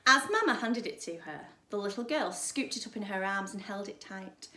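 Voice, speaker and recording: A woman reading a children's story aloud like a primary school teacher, dramatically, with emphatic pauses and hushed drops. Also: mid-range voice, lively intonation. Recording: roomy, echoing sound.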